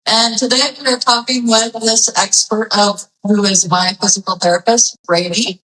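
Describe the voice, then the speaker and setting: A woman hosts a casual interview show, asking questions in a chatty, playful way that sometimes wanders before finding the point. Her voice is of ordinary pitch. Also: fairly even intonation, moderate pace, a bit bright, distant mic, rough recording. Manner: chatty, playful, a bit rambling. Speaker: podcast host.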